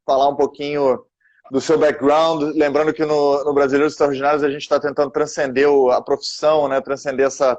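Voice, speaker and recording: A man's voice: a little high, level tone, fairly quick, darkish tone, highs cut off. A man hosting a casual interview, chatty and hesitant with filler words. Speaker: podcast host.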